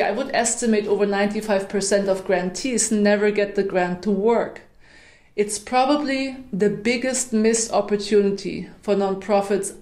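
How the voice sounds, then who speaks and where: German accent lady, middle-aged, walking through a webinar, steady and structured, normal mid pitch. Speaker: nonprofit consultant, webinar presenter. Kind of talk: scripted webinar presentation.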